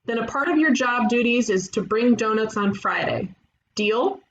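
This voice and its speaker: A woman playing a breezy, blunt detective in an animated comedy, casual and matter-of-fact. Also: fairly high pitch, moderate pace, lo-fi sound, a little hiss or hum, lightly acted. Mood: businesslike. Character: breezy, blunt female detective running a ghost agency. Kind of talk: animated comedy table read.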